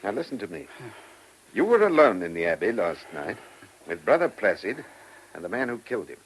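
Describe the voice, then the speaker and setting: An actor in a vintage radio play as a police chief inspector, questioning insistently and giving orders with authority. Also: male voice, on the low side, sing-song, brisk, poor audio. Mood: pressing, probing. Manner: insistent, probing questioning, commanding. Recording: old radio recording with faint noise.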